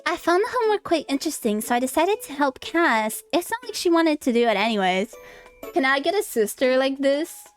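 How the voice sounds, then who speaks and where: Girl streamer w high voice, super animated, reads game lines out loud and reacts, bit of music under her. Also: brisk. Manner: expressive, dramatic reactions. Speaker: streamer, VTuber. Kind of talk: livestream visual novel playthrough, reading dialogue aloud with casual reactions.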